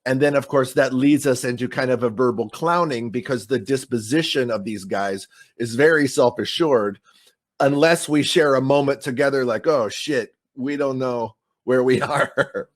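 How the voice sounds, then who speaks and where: A man's voice: medium pitch, normal speed. American man musing on a panel. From United States. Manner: reflective, thinking aloud with fillers. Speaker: improviser, panelist. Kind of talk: online panel discussion.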